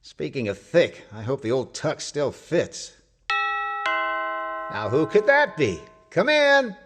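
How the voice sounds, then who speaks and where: An actor playing a jovial, grumbling old husband in an old-time radio comedy, with a folksy, exclamatory manner. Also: male voice, a little high, huge pitch swings, slow pace, fairly bright tone, faint music behind, heavily acted. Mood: curious, bluffing.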